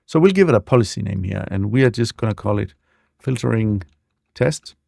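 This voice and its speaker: Low, gravelly man explaining software. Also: a little fast, no room sound, clean studio sound. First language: not English. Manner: relaxed, explanatory, with frequent fillers. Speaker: software demo presenter. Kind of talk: screen-share tutorial walkthrough.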